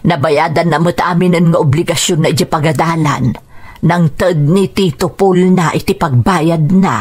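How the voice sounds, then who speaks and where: Here is a calm, appreciative wife and mother in an Ilocano radio drama. Her voice is on the low side, with measured, explanatory phrasing and an Ilocano Filipino accent. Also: husky. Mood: content.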